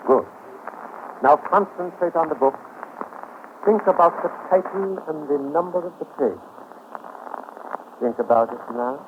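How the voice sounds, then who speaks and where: An actor playing a domineering, sinister stage mentalist in a deliberate, imperious 1940s radio style. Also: male voice, a little high, close to an everyday voice. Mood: theatrical, commanding. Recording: noisy, tinny 1940s radio recording.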